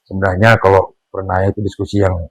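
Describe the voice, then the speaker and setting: Earnest older Indonesian-accented father, low voice. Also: level tone, no room sound, rough recording. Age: 60s. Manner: earnest, preachy, pauses with fillers, rises emphatically on key points. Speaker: father being interviewed. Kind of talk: casual family conversation.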